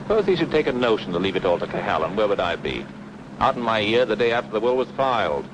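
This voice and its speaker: Smug, low-voiced noir schemer. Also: male voice, very animated, fast. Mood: scheming, cynical. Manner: mocking, drawling sarcasm. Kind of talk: old radio drama acting. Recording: noisy old radio drama recording.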